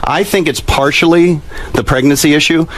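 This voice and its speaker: A man's voice: on the high side, low-quality recording. Earnest, insistent man giving advice. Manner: earnest, insistent, halting repetitions. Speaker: show guest giving psychological profiles and advice. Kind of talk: conversational advice on a talk show.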